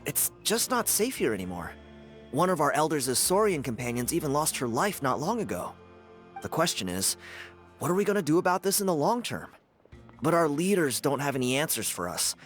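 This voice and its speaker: Breezy young male dancer, self-assured, animated pitch, easygoing, video game, faint music. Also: a little fast. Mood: concerned. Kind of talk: video game character acting.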